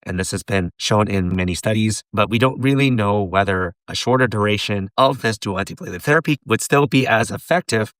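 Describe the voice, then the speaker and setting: A man with a hoarse voice from laryngitis, giving a teacherly tutorial and posing questions for the viewer to pause on. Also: on the low side, a bit bright, dry recording, studio quality. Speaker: pharmacist and educator. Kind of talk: tutorial lecture.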